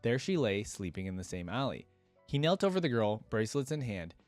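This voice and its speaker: A man's voice: on the low side, big pitch swings, fairly quick, a little mellow, dry recording, soft background music. A man giving casual, enthusiastic, slangy reaction commentary and reading a story aloud as host.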